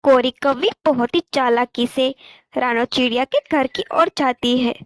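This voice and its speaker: A woman's voice: sits high, measured pace, dry recording, clean studio sound. A woman reading scripted narration for a children's cartoon story.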